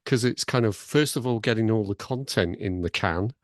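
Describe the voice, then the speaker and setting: A man hosts a podcast conversation. He talks casually and haltingly, with a self-deprecating touch, and the sound is close and dry. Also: on the low side, dry recording. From Blackburn, northern England. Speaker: podcast host, academic consultant.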